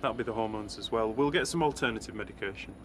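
An actor playing Ben, a young man, breezy with friends and calmly reasoning in arguments. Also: on the low side, fast, slight background noise, close to an everyday voice. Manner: breezy banter, then calm and patronizing.

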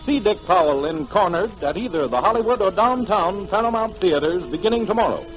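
A man announcing formally and emphatically in the style of 1940s radio promotion, with a husky voice. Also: sits high, animated, fairly quick, faint music behind. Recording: dull, lo-fi 1940s radio broadcast.

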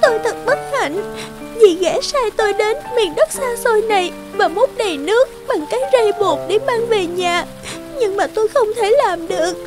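A gentle young orphan girl in a fairy tale cartoon. Her voice is extremely high, earnest and plaintive, with a polite, tender way of speaking. Music plays clearly behind her. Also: expressive, moderate pace. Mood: distressed.

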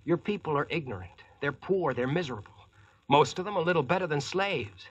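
An actor playing a confident, plain-spoken American Yankee engineer in old-time radio drama style. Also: male voice, fairly high pitch, animated, fairly quick, breathy edge, no echo, highs cut off. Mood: earnest. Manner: plain-spoken, folksy, self-assured. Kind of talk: 1940s radio acting, narration and dialogue. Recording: old radio drama recording, music behind.

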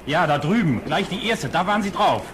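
An actor playing an alert dockside helper in a radio play, giving blunt, short answers. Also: male voice, on the high side, expressive, a little fast, close to an everyday voice. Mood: insistent. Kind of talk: German radio play acting. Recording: old radio play, clear background noise.

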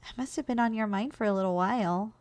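A woman playing a gentle, doting giantess secretary in an ASMR role-play, coaxing and nurturing in manner. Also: mid-range voice, quick pace, a little hiss or hum, close to an everyday voice. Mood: gentle, attentive. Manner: soft, coaxing, nurturing, teasingly affectionate. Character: gentle, doting giantess secretary caring for her shrunken boss.

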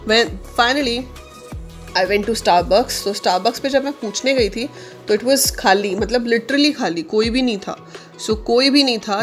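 Indian woman in her 30s, slow chatty travel-vlog voice-over, soft music bed. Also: mid-range voice. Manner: chatty, mixes Hindi and English, many fillers. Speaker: vlogger; online teacher.

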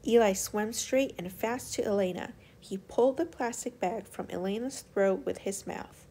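A woman's voice: mid-range voice, moderate pace, mellow, slight background noise. A woman storyteller reading a children's picture book aloud as scripted narration.

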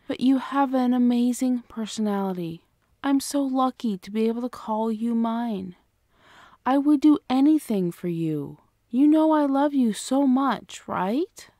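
A woman playing an affectionate, protective, gently bossy girlfriend in an ASMR roleplay, speaking tenderly and coaxingly. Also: a little high, no room sound, clean studio sound. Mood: adoring, playful.

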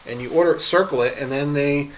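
A man's voice: on the high side, fast talker, crowd noise, rough recording. A father filming a casual family vlog in a hotel room, talking in a playful, joking way.

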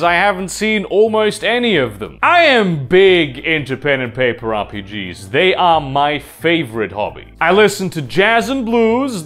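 A young man with a loud voice hosting an advice vlog from a room at home, talking emphatically with wide swings in pitch. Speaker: video essay host. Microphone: lapel mic.

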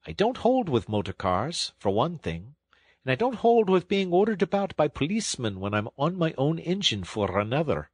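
A man voicing a stern but kindly train engine driver who speaks in a firm, plain way, in a husky voice. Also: a little high, moderate pace, dry recording, voice pushed up. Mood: stern then kind. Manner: steady, firm and plain-spoken. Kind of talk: audiobook storytelling.

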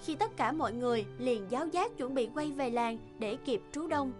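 High-pitched woman narrating a fairy tale. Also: expressive, normal speed. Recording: music bed behind the voice. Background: background music.

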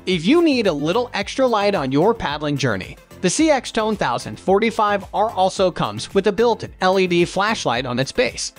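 A man with a high-set voice delivers a scripted product review voice-over. His intonation moves in animated rises and falls as he reads through features in a promotional, list-style way. Faint music plays behind him. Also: normal speed, hardly any pauses.

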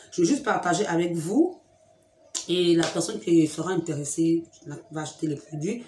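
Young woman vlogger, down with a cold, mellow tone, lively, teasing, echoey room. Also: low voice, slight background noise. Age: young adult. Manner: lively, talks straight to viewers, teasing. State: has a cold. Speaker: beauty vlogger. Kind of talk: chatty direct-to-camera vlog. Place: room at home with a fridge and sofa.

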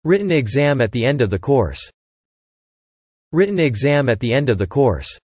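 Man reading English vocabulary, slightly husky. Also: medium pitch, very animated, darkish tone, no echo. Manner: clear deliberate reading, each phrase said twice. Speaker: English word reader. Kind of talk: slow repeated vocabulary reading.

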